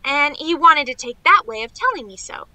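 A woman's voice: high-pitched, animated, normal speed, pushed and bright, dry recording, exaggerated voice, low-quality recording. A woman playing a naive, eager young niece in a radio play, chattering in an artless, self-assured, girlish way.

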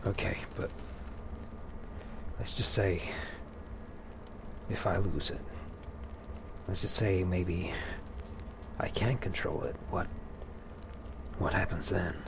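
A man roleplaying a hesitant, shaken new vampire in a video game, muttering and halting nervously. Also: deep voice, creaky, noisy background, full character voice. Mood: worried. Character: hesitant, shaken newly turned male vampire, anxious. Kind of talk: improvised in-character game roleplay.

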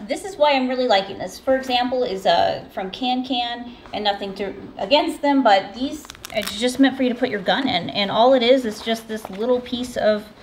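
A woman's voice: fairly high pitch, slight background noise. An American woman in her thirties giving a casual, conversational product review to camera as host. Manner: conversational, informal. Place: backyard patio and home kitchen.

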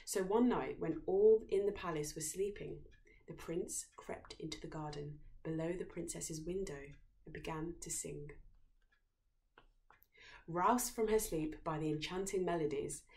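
A woman's voice: fairly low pitch, unhurried, darkish tone, rough recording. A young woman reading a fairy tale aloud as a storyteller. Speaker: story reader. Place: living room at home, on a sofa.

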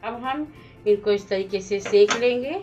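A woman hosts a home cooking lesson, talking through every step in a plain, instructive way at a middling pitch. The sound is a little dull and lo-fi, with soft music in the background. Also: measured pace. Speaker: cooking channel host. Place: home kitchen at the stove.

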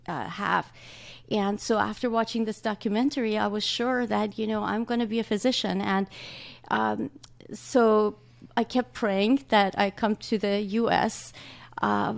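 A woman's voice: medium pitch, gravelly. A woman in her forties from Iran with a slight Iranian accent, a doctor speaking earnestly as an interview guest into a studio mic. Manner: earnest, warm, laughs often. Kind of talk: casual interview talk. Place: small home studio room. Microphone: large studio mic with headphones.

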